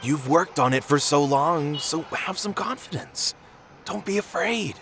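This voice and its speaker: An actor playing a gruff, emphatic male theatre manager in a video game. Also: a little high, expressive, a little slow, a bit bright, a little hiss or hum. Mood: encouraging. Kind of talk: game voice acting.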